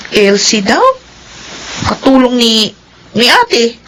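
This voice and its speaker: A woman's voice: a little high, big pitch swings, slow pace, a bit bright, slight background noise, heavily acted, poor audio. A woman playing a younger sister in a Filipino radio drama, talking in a casual, informal way. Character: younger sister relaying news to her brother.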